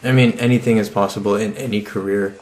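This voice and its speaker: A man's voice: fairly low pitch, steady tone, quick pace, darkish tone, a little hiss or hum. A young man hosting a casual podcast chat, easygoing and full of fillers. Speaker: podcast host.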